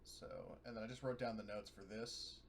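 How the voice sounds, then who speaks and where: A man's voice: on the low side, fairly even intonation, normal speed. A man chatting casually as a player in a tabletop roleplaying game, informally narrating what his character does. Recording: noisy audio with clicks.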